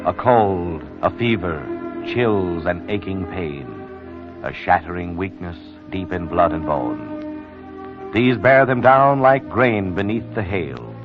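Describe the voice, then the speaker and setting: Grave poetic narrator, deep, unhurried, solemn, old-time radio drama. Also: male voice, animated, heavily acted. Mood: somber. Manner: solemn, measured, poetic delivery. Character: grave male narrator of hardship and sickness. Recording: muffled old radio recording, music behind.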